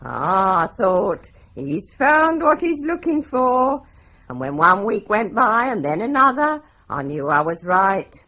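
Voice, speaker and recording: A talkative older landlady who runs on with stern advice. Her voice is breathy and swoops up and down in pitch. The broadcast recording sounds dull and lo-fi. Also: slow pace, heavily acted. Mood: chatty. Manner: chatty, lively, runs on with advice. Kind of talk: radio drama acting.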